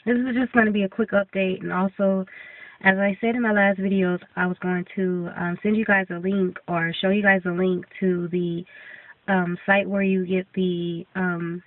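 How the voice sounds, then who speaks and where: A woman's voice: mid-range voice, flat delivery, moderate pace, dry recording. Congested young woman, tinny and distant. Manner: rambling, many ums and restarts. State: congested, getting sick. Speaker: vlogger. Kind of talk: casual video update. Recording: tinny phone-like sound from off-mic.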